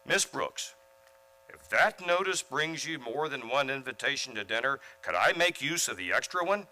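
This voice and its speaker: Man acting a bright-voiced, shy, earnest gentleman. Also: medium pitch, animated, moderate pace, rough recording. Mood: earnest, hesitant. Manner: earnest, hesitant, polite. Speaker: actor in a community radio play. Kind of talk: old-time radio comedy acting.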